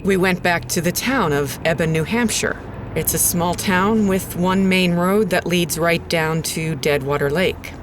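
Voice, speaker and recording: An actress playing an uneasy female radio presenter, narrating an eerie investigation in a measured, deliberate way. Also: on the low side, noisy background, natural voice. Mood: foreboding, measured. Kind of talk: scripted first-person narration.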